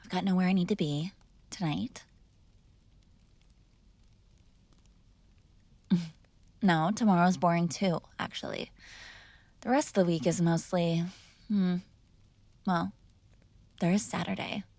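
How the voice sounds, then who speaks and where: A woman's voice: lowish voice, steady tone, measured pace, gravelly, long pauses, darkish tone, dry recording. A woman playing a mob boss's spoiled, bratty young adult daughter in an intimate roleplay, speaking casually with sarcastic, exasperated argument. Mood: evasive, playful. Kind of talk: intimate ASMR roleplay acting, one-sided conversation.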